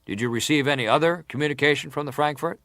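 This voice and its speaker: An actor playing a formal, persistent senator who questions a witness deliberately in a dramatised hearing. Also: male voice, a little high, fairly bright tone, dry recording. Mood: probing. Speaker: interrogator at a hearing. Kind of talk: dramatised reading of hearing testimony.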